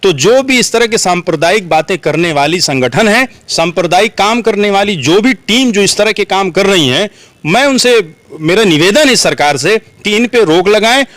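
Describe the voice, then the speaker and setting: A man's voice: high-pitched, animated, moderate pace, a bit bright, no echo. A man giving political opinions in an earnest way, repeating phrases for emphasis as an interviewee.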